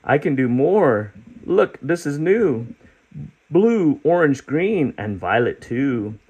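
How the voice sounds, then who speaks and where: A man's voice: medium pitch, big pitch swings, measured pace, a little mellow, husky, exaggerated voice. A man voicing a cheerful spotted creature who wants to stay at the zoo, reading its rhymes in a sing-song way. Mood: proud. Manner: sing-song rhyming, eager.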